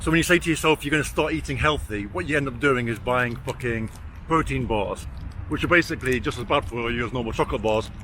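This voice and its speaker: A man's voice: mid-range voice, fast, a bit bright. A man of forty with a weak R, chatting casually as he vlogs, blunt and self-deprecating with easy swearing. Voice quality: cannot roll or pronounce R clearly. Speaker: vlogger. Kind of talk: casual walk-and-talk vlog. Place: outdoors on city streets. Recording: outdoor city and traffic noise.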